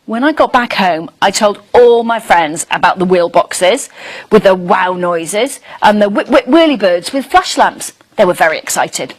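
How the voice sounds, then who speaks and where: A woman voicing a curious, friendly young schoolchild dragon who narrates a storybook with wide-eyed, expressive emphasis. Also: medium pitch, unhurried, poor audio. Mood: excited.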